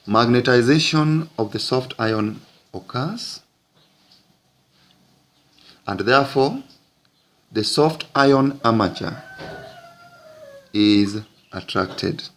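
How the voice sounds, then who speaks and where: A man teaching physics, narrating an explanatory lesson in deliberate, halting phrases with frequent breaks. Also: mid-range voice, lively intonation.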